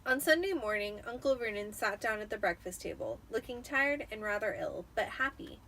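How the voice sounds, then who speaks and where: A woman's voice: medium pitch, lively intonation, moderate pace, pushed and bright, a little hiss or hum. A tipsy woman giving an amateur dramatic reading of a storybook. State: tipsy after drinking wine.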